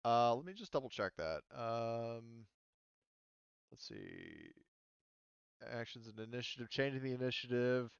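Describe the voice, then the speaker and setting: A man's voice: mid-range voice, huge pitch swings, unhurried, vocal fry, long pauses, clear, resonant tone, no room sound, muffled recording. A man running a tabletop roleplaying game as game master, explaining rules and action casually with some swearing.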